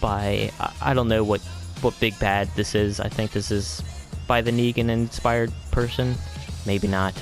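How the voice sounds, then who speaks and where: Low-voiced man rambling through a game tour. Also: little pitch movement, a little slow, few pauses, faint music behind. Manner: hesitant, filler-heavy, trailing off with vague asides. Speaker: gaming video host.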